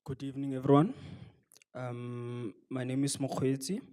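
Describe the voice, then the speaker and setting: A man from the audience, addressing the gathering in a measured, calm way. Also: mid-range voice, smooth. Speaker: audience member.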